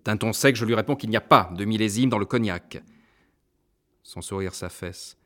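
A man reads a first-person novel aloud in a very low, deep voice. His delivery is measured and introspective, steady from one sentence to the next. He sounds like an audiobook narrator.

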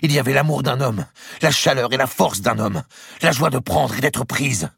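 Casting: condemned princess played by a man, defiant and cutting, hurried breathy delivery, raised husky voice, for dramatic audiobook. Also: deep voice, fairly bright tone, no room sound, clean studio sound, voice pushed up, heavily acted. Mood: taunting, passionate. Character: defiant, spiteful condemned young princess, proud and passionate.

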